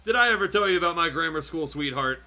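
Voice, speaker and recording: A man's voice: on the high side, expressive, bright, forceful tone, exaggerated voice. Man reading as a smug, petty bearded grump. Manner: smug, unhurried.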